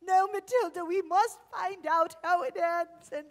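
A woman playing an excitable, tender-hearted librarian, acted emotionally in a theatrical stage style. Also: very high-pitched, measured pace. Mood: tearful, tender.

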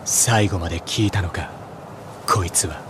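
An actor playing a stern, cold young soldier captain in an anime game, speaking curtly and gruffly. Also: male voice, low-pitched, normal speed, background noise, close to an everyday voice. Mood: grave resolve. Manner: curt, gruff, terse. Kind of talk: Japanese anime game voice acting.

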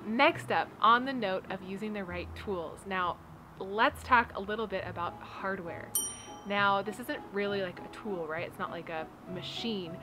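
Woman in her 30s chatting about tools out in the garden, upbeat, music going in the back. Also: medium pitch. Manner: chatty and upbeat, laughs often, fillers like "like" and "you know". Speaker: homestead building vlogger. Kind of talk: casual how-to talk to camera. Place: backyard garden outdoors by wooden raised beds. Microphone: camera framed on her seated, medium shot.

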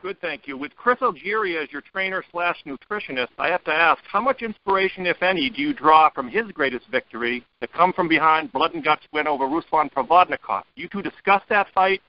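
Male reporter on a conference call, voice a bit high, muffled line. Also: animated, brisk, few pauses, dry recording. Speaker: boxing reporter asking questions. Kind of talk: press question on a conference call. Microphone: telephone conference call. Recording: dull lo-fi conference phone line.

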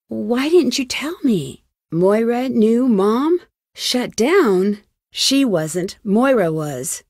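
A woman's voice: fairly high pitch, darkish tone, dry recording, studio quality, exaggerated voice. An actress voicing a determined, inquisitive young woman detective with an American accent in a video game, earnest and questioning. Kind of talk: video game voice acting, isolated dialogue lines.

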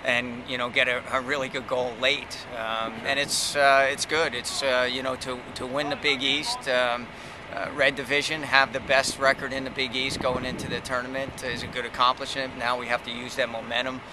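A middle-aged man, a college soccer coach, giving measured post-game comments with many pauses and fillers, outdoors by the field at night. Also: mid-range voice, flat delivery. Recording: outdoor noise behind.

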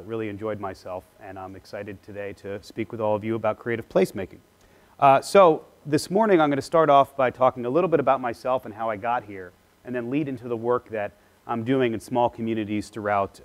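Man giving a relaxed conference keynote. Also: lowish voice. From Philadelphia area, US East Coast. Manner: conversational and self-deprecating, frequent "uh" fillers; gestures with a clicker while pacing the stage. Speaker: keynote speaker. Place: large lecture hall with projection screen. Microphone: lapel mic.

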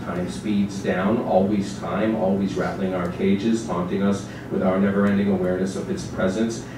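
A deep-voiced man gives a measured dramatic reading of narrative prose on a small stage. His delivery is deliberate and literary, caught from far off in a heavily echoing, noisy space. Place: small theatre stage with seated audience. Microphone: distant camera microphone.

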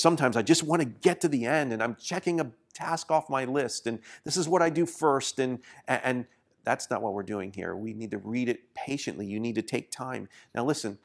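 A man's voice: mid-range voice, creaky. A man in his forties who grew up in Indonesia, teaching a sermon to camera in an earnest, encouraging way, often restarting his words. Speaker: pastor. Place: small studio set.

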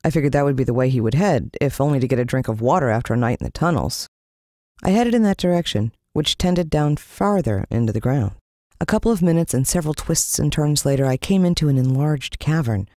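An American woman narrating a scripted children's adventure story in an expressive style. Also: very deep voice, no room sound, clean studio sound. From United States. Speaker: audiobook narrator.

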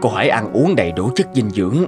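Middle-aged cartoon physician: attentive, reassuring, unhurried delivery over music. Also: male voice, fairly low pitch, sing-song. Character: calm, attentive male doctor, middle-aged. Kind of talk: Vietnamese cartoon voice acting.

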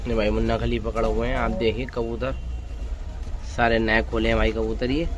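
A man's voice: fairly low pitch, flat delivery, brisk, noisy background. A man vlogging in an open courtyard, chatting casually to his viewers and calling them friends as he films from a phone. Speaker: pigeon-keeping vlogger. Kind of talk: casual show-and-tell narration. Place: open-air courtyard full of pigeons. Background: pigeons cooing and fluttering.

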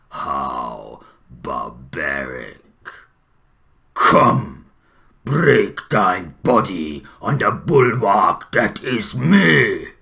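A man voicing a brooding, eloquent, bitter troll king for fantasy game lines, gravelly and theatrical. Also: sharp tone, heavily acted. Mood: menacing, commanding. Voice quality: deep, gravelly. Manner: slow, theatrical, grandiloquent.

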